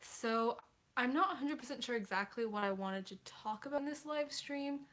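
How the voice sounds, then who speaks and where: A woman's voice: on the high side, normal speed, fairly bright tone, slight background noise. A teenage girl hosting a casual livestream, answering viewer questions in a chatty, apologetic way with many hesitations. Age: teens.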